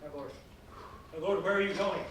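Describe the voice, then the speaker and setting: Far from the mic in a very echoey hall, an anguished disciple pleads , acted by a man. His voice is high, and he repeats and stammers his words with devoted urgency, in stage-drama style. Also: normal speed, fairly bright tone, a little hiss or hum. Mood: anguished, pleading. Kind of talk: theatrical staged reading.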